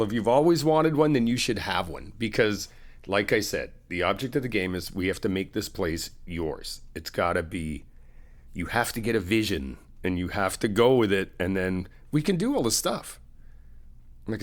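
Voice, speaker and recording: Laughing, chatty man playing a best friend. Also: on the low side. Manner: chatty, laughs often, teasing and upbeat. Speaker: ASMR roleplay creator playing a best friend. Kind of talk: casual friendly roleplay chat to the listener.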